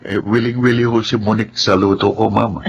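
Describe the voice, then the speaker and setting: A man's voice: fairly low pitch, steady tone, fairly quick, gravelly, lo-fi sound, slight background noise. A man with a Filipino accent acting in a radio drama as a genial, teasing husband who chats good-naturedly.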